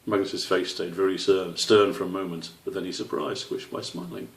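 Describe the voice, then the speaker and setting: Low, gravelly male storyteller. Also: little pitch movement, moderate pace. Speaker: author reading own story. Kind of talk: children's storybook narration. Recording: roomy, slightly distant microphone.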